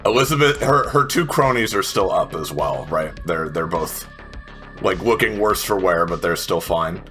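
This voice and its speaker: A man's voice: low-pitched, expressive, normal speed, sharp tone, soft background music. A man playing a tabletop game, casually talking through rules and describing what his character does.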